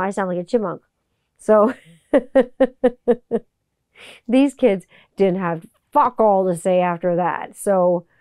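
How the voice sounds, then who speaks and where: A woman in her forties hosting a podcast, talking in a blunt, profane, animated monologue. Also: mid-range voice, clear, resonant tone, no echo. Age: 40s. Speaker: podcast host. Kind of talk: casual monologue.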